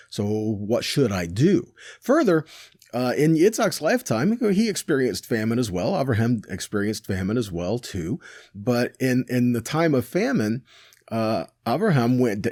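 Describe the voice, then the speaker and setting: A man's voice: medium pitch, darkish tone, no room sound, clean studio sound. A man teaching a scripture lesson in a casual, conversational way, reading verses aloud and paraphrasing them with frequent rhetorical questions and "right?". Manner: rhetorical questions, self-corrections. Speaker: teacher of a weekly Torah portion.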